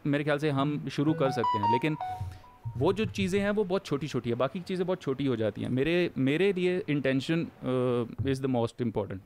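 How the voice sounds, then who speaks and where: A man co-hosting a casual podcast chat, joking around and mixing Hindi with English, with "uh" pauses as he explains. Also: mid-range voice, expressive, a little mellow, faint music behind. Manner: explains plainly with filler "uh" pauses. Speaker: podcast co-host.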